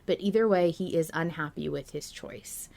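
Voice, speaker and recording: A woman co-hosting a podcast in casual, chatty, expressive discussion. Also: low voice, normal speed, vocal fry. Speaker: podcast co-host.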